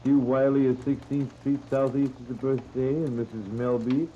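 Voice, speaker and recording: An American man working as a morning radio announcer in an informal, folksy 1930s live style, chatty and unhurried, on an old muffled radio recording. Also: medium pitch, little pitch movement, slight background noise. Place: radio studio. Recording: muffled, band-limited 1930s radio disc.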